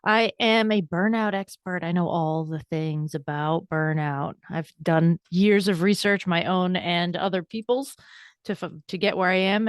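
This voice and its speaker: A woman in her forties, a burnout coach and guest on a podcast interview, telling her story in a candid, brisk, self-deprecating way. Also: fairly low pitch, no room sound, studio quality.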